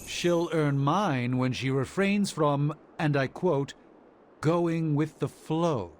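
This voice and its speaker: An actor playing a dry, sardonic, protective mentor in a video game, with a deadpan, wordy delivery. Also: male voice, a little high, takes it slowly, a little hiss or hum. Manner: deadpan, wordy, drawling sarcasm.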